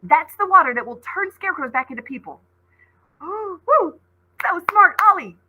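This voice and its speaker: A woman's voice: very high voice, very animated, normal speed, bright, forceful tone, low-quality recording. A woman teacher reading a storybook aloud expressively and dramatically, with asides to her listeners.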